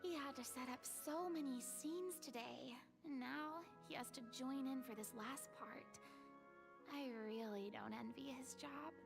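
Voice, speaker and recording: Thoughtful young game heroine, pretty high, music under her. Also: a little slow, creaky. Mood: sympathetic. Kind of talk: anime game voice acting.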